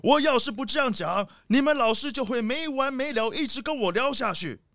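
A man's voice: very high-pitched, animated, a little fast, fairly bright tone, no room sound, studio quality, heavily acted. An actor playing a grumpy, self-important, suspicious rich father in a children's audio drama, blustering and complaining. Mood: impatient.

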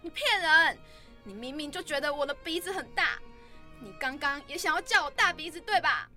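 A woman's voice: high voice, lively intonation, normal speed, bright, forceful tone, heavily acted. A woman playing an insecure, defensive college freshman in a radio drama, with music behind her. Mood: accusing, angry.

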